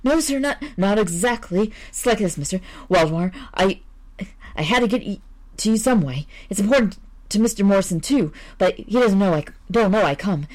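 A woman's voice: medium pitch, animated, fairly quick, a little mellow, voice pushed up, lightly acted. A woman voicing a desperate, terrified male gambler who stammers and babbles his pleas. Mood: panicked pleading.

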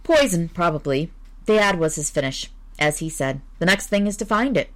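Lady doing a smooth unruffled detective guy, calm and measured. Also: on the low side, natural voice. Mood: calm reasoning. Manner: suave, calm, deliberate. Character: suave, cool-headed male amateur detective.

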